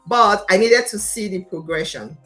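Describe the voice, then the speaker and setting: A woman's voice: mid-range voice, normal speed, bright, forceful tone, faint music behind. A Nigerian woman with a confident, emphatic, passionate way of talking, telling her own story as an interview guest. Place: remote video call.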